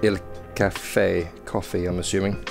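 A man's voice: sits low, little pitch movement, normal speed, vocal fry. A young man on a headset mic giving casual, self-deprecating commentary while trying a VR game, reading prompts aloud. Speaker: gaming YouTuber. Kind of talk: first-impressions gameplay commentary. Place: small indoor room playing VR. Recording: game music behind.

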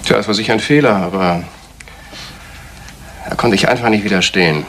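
An actor playing a cynical, brazen blackmailer in a retro German radio crime play, talking with nonchalant scorn. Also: male voice, lowish voice, brisk, a bit bright, lightly acted. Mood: cynical, unrepentant. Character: cynical, brazen male blackmailer, coolly unafraid. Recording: noisy vintage radio play recording.

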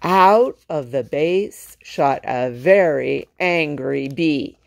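Low-pitched, unhurried woman reading a storybook. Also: vocal fry, dry recording. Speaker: storytime reader. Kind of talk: children's picture-book read-aloud.

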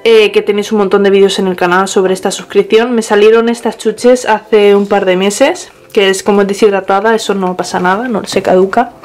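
A woman's voice: mid-range voice, steady tone, a little fast, few pauses, a little mellow. A young woman, chatting casually through a home tour, often correcting herself, filmed on a small handheld camera in her bedroom. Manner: chatty, restarts and self-corrections. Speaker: pet vlogger.

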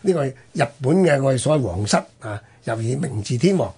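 A man's voice: medium pitch, lively intonation, brisk, darkish tone, slightly rough. A man with a Hong Kong Cantonese accent telling history conversationally as a radio host, explaining with rhetorical questions and interjections.

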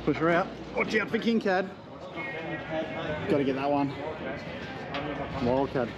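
A man's voice: a little high, animated, a little slow, muffled recording, music in the background. A man chatting casually as he hosts an on-location vlog outdoors. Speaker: vlog host. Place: outdoors at a car show loading area. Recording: very noisy outdoor recording with vehicle sounds.

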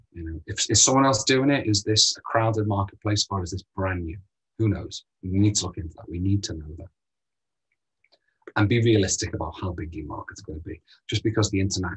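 Low-voiced, very husky man presenting a webinar. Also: poor audio. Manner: direct, brisk, rhetorical questions, frequent ums. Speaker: space ambassador presenting a funding webinar. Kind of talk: webinar presentation over slides.

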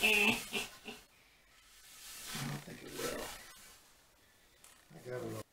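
A man's voice: a little high, very animated, slow, vocal fry, roomy sound, background noise. Middle-aged man narrating in his workshop. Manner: plain, matter-of-fact, talking to himself as he works. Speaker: woodworker narrating his own project. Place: small cluttered home workshop, indoors. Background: talk radio playing in the background.

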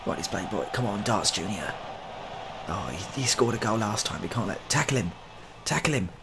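A man in his thirties with a low, creaky voice comments on a game he is playing. His delivery swings up and down in pitch as he mutters, repeats himself and swears mildly in mock exasperation. It is recorded close in a small room. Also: expressive, moderate pace, noisy background. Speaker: gaming video creator. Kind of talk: casual joking game playthrough commentary.

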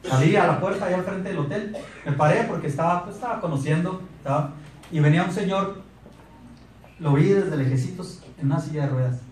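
A man in his thirties with a Mexican accent, giving a lively motivational sales talk on a handheld mic and asking the audience questions. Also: fairly high pitch, fairly quick, distant mic, slight background noise. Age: 30s. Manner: animated, asks the audience questions. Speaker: sales speaker. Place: meeting room in front of a projector screen. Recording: echoey room recorded from afar.

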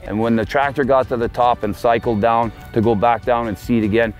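A man's voice: medium pitch, steady tone, fairly quick, soft background music. A man in his forties, a tire dealer speaking confidently in a promotional interview outdoors beside a tractor.

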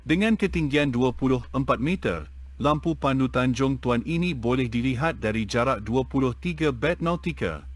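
A man reading an educational text aloud in a measured, even way. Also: medium pitch, no echo.

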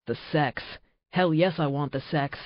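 Nate, a hushed, deep shapeshifter lover voiced by a woman. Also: unhurried, no echo. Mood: tender. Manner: low hushed passionate delivery. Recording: dry, slightly dull audiobook recording.

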